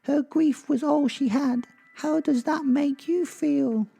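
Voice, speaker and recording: Woman voicing a creaky, high-pitched reproachful ghost child. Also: slow pace, mellow, soft background music, heavily acted. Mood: mournful, accusing. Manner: accusing, mournful. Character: reproachful ghost of a murdered toddler. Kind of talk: audio drama acting.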